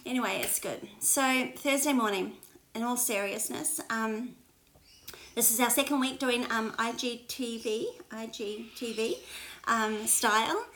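Chatty Australian woman in her sixties. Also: fairly high pitch. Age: 60s. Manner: chatty, warm, prayerful, many fillers. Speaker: pastor. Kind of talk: casual livestream devotional chat. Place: spare bedroom at home.

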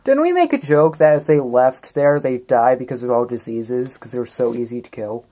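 A woman's voice: a bass voice, animated, normal speed. A woman chatting casually as a player in a tabletop game. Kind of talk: casual chat during a game. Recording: clear background noise.

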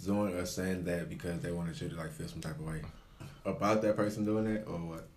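A man's voice: deep voice, fairly even intonation, brisk, darkish tone. A man co-hosting a casual podcast, talking gossip in a blunt, opinionated way with plenty of slang and swearing. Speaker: podcast co-host. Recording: roomy, echoey podcast recording.

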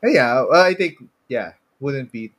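A man with a Filipino accent chatting casually about movies as a podcast co-host, halting and prone to chuckling as he talks. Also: medium pitch, lively intonation, a bit bright.

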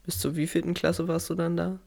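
Curious male interviewer with a gravelly, somewhat high voice, casual tone. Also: flat delivery, fairly quick, a little mellow. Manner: curious, asks follow-up questions. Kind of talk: casual conversation.

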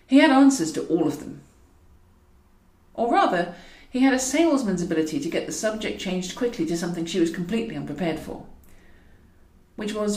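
A woman narrates a novel in a wry storytelling manner. Her voice sits at a middling pitch. The recording is roomy and echoing, with her some way from the microphone. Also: a little mellow. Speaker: author reading own audiobook. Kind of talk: scripted fiction narration. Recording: roomy echo, distant from mic.

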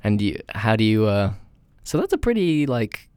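A man's voice: lowish voice, very animated, moderate pace, darkish tone, clear, resonant tone, no room sound, clean studio sound. A young man chatting casually with a friend, laid-back, swearing freely and laughing often. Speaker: friend in conversation. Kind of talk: casual chat.

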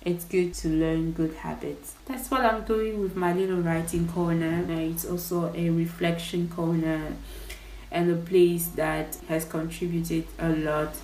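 A young woman from Congo whose English carries a French tinge talks through her podcast plans, thinking aloud. Her voice sits fairly low. The sound is roomy, with a clear echo. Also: a little mellow, a little hiss or hum. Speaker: podcast host. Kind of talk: casual behind-the-scenes monologue.

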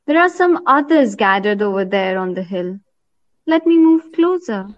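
An actress playing a woman hunter who stalks her target and marvels at winged creatures, thinking aloud in deliberate short phrases in an audio drama. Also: medium pitch, animated, close to an everyday voice. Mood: watchful, intent. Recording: dull lo-fi recording.

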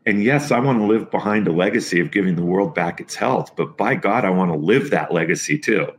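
A man's voice: low-pitched, fairly quick. A man in his fifties, a nutrition coach talking as a guest on a podcast interview, emphatic and motivational. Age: 50s.

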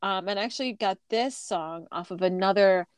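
A woman's voice: medium pitch, normal speed, clear, resonant tone, dry recording. Female podcast co-host, casual and chatty, slightly stuffy, dry close recording. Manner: chatty, with frequent fillers and laughter. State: stuffy from a recent illness. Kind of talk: casual chat.

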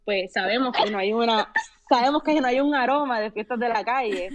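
A young adult woman from Puerto Rico with a Puerto Rican accent, a podcast guest telling stories in relaxed chat, mixing Spanish and English. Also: fairly high pitch, brisk, muffled recording, a loud crowd. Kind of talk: casual interview chat.

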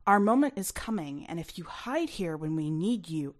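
A woman's voice: fairly low pitch, expressive, normal speed, natural voice. An insistent, muscular man in an audio story played by a female reader. He speaks with urgent pressure, pushing hard to get his way. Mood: urgent, pressing.

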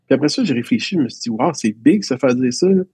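A man's voice: medium pitch, lively intonation, fast talker, warm tone, slightly rough, dry recording. A man with a Quebec French accent, a teacher reflecting on his schooling in a casual interview, telling stories with frequent restarts. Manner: reflective, storytelling with many restarts.

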